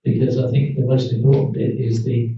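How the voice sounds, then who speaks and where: A man councillor speaking up at a meeting in a halting, stumbling way, with many pauses and fillers. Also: medium pitch, level tone, a little hiss or hum. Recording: distant, echoey, dull room mic.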